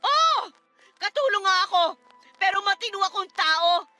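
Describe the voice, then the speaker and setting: A woman's voice: very high-pitched, lively intonation, a little slow, sharp tone, exaggerated voice. A woman playing a defiant, scornful young housemaid bent on revenge in an emotionally charged radio drama. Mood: furious. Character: bitter, vengeful young housemaid confronting her abusive stepfather. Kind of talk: Tagalog radio drama acting. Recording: music bed under the voice.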